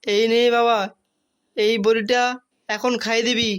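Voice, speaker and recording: A man's voice: very high-pitched, flat delivery, unhurried, a bit bright, clear, resonant tone. A man voicing a bossy, reassuring older village shopkeeper in a comic cartoon.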